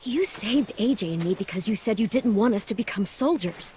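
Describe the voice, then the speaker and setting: A woman playing a tough, protective teenage girl in a dramatic video game, plain-spoken, steady and earnest. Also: medium pitch, brisk, slight background noise, natural voice. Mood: firm, pleading. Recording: music under the voice.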